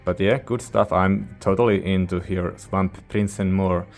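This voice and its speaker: A man's voice: low-pitched, steady tone, unhurried, darkish tone, faint music behind. A man who is a non-native English speaker gives a casual, rambling review as a host, informal and hesitant as he searches for words. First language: not English. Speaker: music reviewer, channel host.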